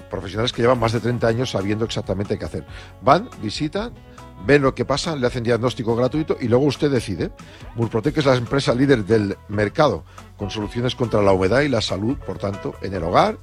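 Energetic radio host. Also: male voice, medium pitch. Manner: energetic, emphatic. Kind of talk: radio show hosting and live read ad.

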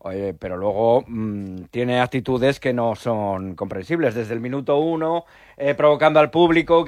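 A man's voice: medium pitch, no echo. A man giving a radio sports report in casual chat, talking on through interruptions. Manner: lively, talks on through interruptions.

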